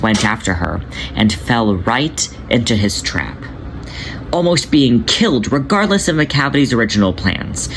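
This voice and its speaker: A man voicing a cocky male cat who is protective and teasing, in a gentle, affectionate manner. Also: fairly low pitch. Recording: noisy home recording.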